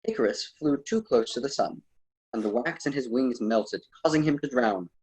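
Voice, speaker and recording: Guy as wise old-myth storyteller in a radio drama, formal, preachy, bit husky. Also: mid-range voice, normal speed, darkish tone, lightly acted, rough recording. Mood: admonishing. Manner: formal, solemn, didactic. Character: wise, patient, admonishing male storyteller and mentor. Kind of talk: amateur student radio drama acting.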